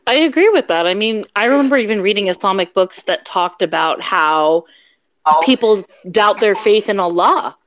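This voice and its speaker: A woman's voice: mid-range voice, animated, moderate pace, dry recording. A woman guest telling her story frankly in a casual podcast talk. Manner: frank, candid, storytelling with fillers. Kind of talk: casual interview chat.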